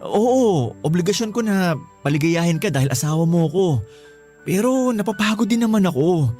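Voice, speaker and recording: Worn-out Filipino husband, music behind. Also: male voice, high voice, expressive, normal speed, mellow. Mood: pleading, frustrated. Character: tired, exasperated young husband, pleading and reluctant. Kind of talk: Filipino radio drama acting.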